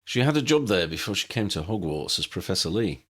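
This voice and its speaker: A man's voice: low-pitched, very animated, fairly quick. A man voicing a practical, frank magical investigator in a storybook, casually reasoning aloud. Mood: matter-of-fact.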